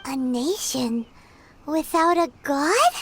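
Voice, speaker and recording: A woman voicing a small, childlike fairy companion in an anime-style game, squeaky, curious and wide-eyed, exclaiming as she talks. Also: expressive, takes it slowly, fairly bright tone, slight background noise, full character voice. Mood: shocked, puzzled. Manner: exclamatory, wide-eyed, refers to herself in third person. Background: music.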